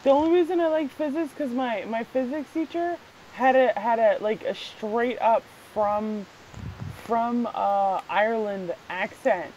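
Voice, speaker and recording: A woman whose first language is Spanish, chatting casually on a vlog, animated and quick to swear and laugh. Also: a little high, slight background noise, rough recording. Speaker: vlog co-host. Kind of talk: casual chat.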